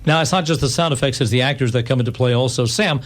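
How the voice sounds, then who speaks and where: A man with an American accent hosting a live radio interview in an enthusiastic, chatty, stop-and-start way. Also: mid-range voice. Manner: enthusiastic, chatty, halting restarts. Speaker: radio host.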